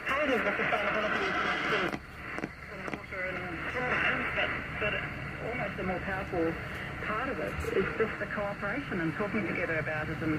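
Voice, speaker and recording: A woman talking reflectively and earnestly in a radio interview. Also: mid-range voice. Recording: very noisy shortwave radio reception. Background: loud radio static and noise.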